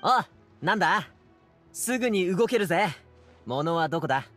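A man playing a confident, easygoing young escort courier in a video game, with casual, brisk speech. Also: sits high, lively intonation, a bit bright, faint music behind. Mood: upbeat. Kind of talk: Japanese video game voice acting.

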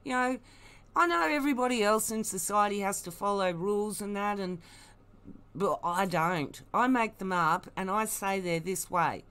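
A woman's voice: mid-range voice, normal speed, fairly bright tone, smooth. A woman giving blunt, emphatic, mocking commentary, unscripted and opinionated. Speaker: online commentator.

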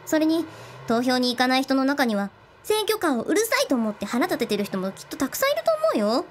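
A woman's voice: high voice, big pitch swings, quick pace, heavy background noise. A woman playing an earnest young first-time voter who admonishes her friend in a radio drama.

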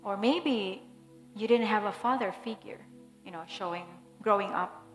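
A woman's voice: medium pitch, moderate pace. Fervent woman preaching in an echoey hall. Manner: fervent, declaring prayer then softer guiding. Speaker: pastor. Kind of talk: sermon prayer. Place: large church stage. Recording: echoey hall with soft music.

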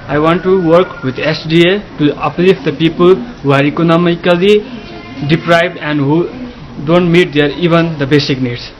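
Higher-voiced Nepali-accented man, dull recording. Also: fairly even intonation, moderate pace, faint music behind. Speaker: association chairman. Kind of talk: spoken statement to camera. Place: outdoors in front of a building. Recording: dull camera audio.

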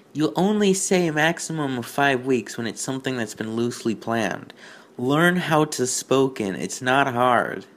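A man hosting a review show, reading aloud and commenting with mock-indignant, deadpan sarcasm. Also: mid-range voice. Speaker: host of a fan fiction review show.

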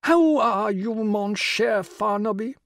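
A man's voice: creaky, dry recording, clean studio sound, full character voice. A man voicing an imperious royal older woman on the phone, rich and emphatic, dwelling on words. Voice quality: rich, emphatic, high put-on voice. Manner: grand, insistent, drawn-out words. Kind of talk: audiobook character voice.

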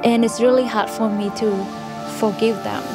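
A young woman, giving a soft, steady personal testimony in a studio interview. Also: fairly high pitch, normal speed. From Cambodia. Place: indoor studio interview set. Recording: music underneath.

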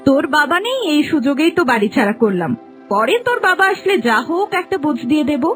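A woman's voice: high voice, very animated, fast talker, a bit bright, soft background music. A woman playing a spiteful, scheming young village daughter-in-law in a cartoon, scolding and dismissive. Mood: scornful. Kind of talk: cartoon voice acting.

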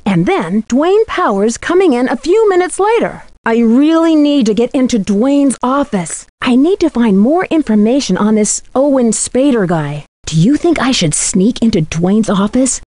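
A woman voicing a bright, inquisitive teenage girl detective in a video game, with an American accent and clear, earnest, conversational delivery. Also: on the high side, animated, moderate pace, few pauses, no echo, natural voice. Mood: earnest, intrigued. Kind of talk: scripted video game voice acting.

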